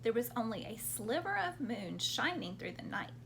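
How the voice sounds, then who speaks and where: A woman's voice: fairly high pitch, sing-song, moderate pace, creaky, noisy background. A woman reading a story aloud to young children expressively, prompting them to join in as she goes. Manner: interactive, prompting children with questions and sound words.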